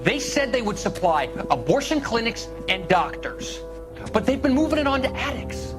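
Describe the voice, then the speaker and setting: A man's voice: extremely high pitch, very animated, moderate pace, music in the background. A male actor playing a young medical student and war veteran in a video game, earnest and pleading.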